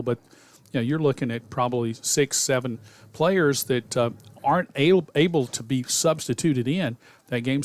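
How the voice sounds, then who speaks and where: A man doing color commentary on a high school football radio broadcast from a stadium press box, folksy and rambling with many fillers.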